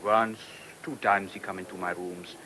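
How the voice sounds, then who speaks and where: An actor playing an exasperated middle-aged German proprietor, speaking emphatic broken English with a German accent in a radio play. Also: male voice, deep voice, fairly even intonation, moderate pace, bright, forceful tone. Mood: nostalgic, talkative. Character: exasperated German hotel proprietor, former prisoner of war. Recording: hissy old radio drama recording.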